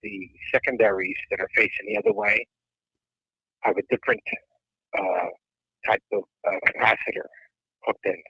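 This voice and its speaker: A male caller phoning into a podcast, halting and searching for words as he explains. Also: on the low side, steady tone, sharp tone, slightly rough, no room sound. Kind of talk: phone call-in chat. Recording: muffled phone line.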